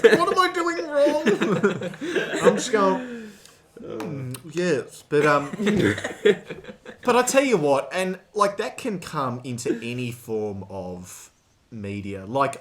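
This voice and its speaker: Male podcast co-host, fairly high register with swooping delivery, theatrically exasperated storyteller. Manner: animated, mock-exasperated, acts out quotes. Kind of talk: comic rant in casual podcast chat.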